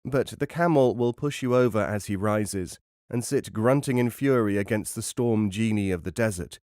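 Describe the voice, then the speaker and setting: A man reading a travel memoir as an audiobook narrator, in a measured, wry and formal literary manner. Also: on the low side, a little mellow, no echo, clean studio sound.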